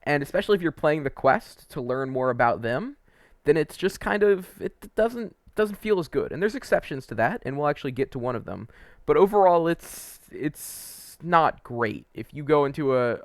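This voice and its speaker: A man's voice: mid-range voice, animated, normal speed, no room sound, studio quality. A man giving casual, opinionated video game commentary as a host, conversational and a little hesitant, wandering into asides.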